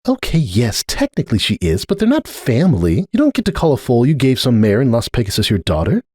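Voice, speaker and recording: Man voicing an embarrassed, defensive pony mother, pitched high. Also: wildly swooping pitch, brisk, darkish tone, husky, dry recording, studio quality. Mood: dismissive.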